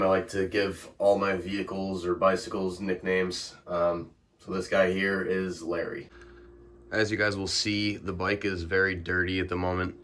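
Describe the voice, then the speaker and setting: Deep-voiced sniffly young man. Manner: relaxed, filler-heavy, explanatory. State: sniffly from flu. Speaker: bike vlogger. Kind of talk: casual bike check walkthrough.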